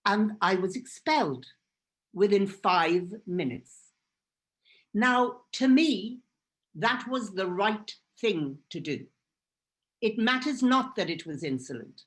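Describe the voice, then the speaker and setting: A woman's voice: mid-range voice, animated, takes it slowly. Earnest Hebrew-native woman telling her story. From Israel. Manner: earnest and emphatic, repeating phrases for force. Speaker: guest speaker. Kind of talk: personal storytelling talk.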